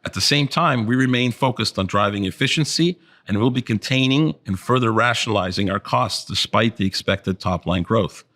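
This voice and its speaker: Low-pitched man reading financial results. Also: fairly bright tone. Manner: formal, measured corporate delivery. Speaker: chief financial officer presenting results. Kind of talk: scripted financial presentation.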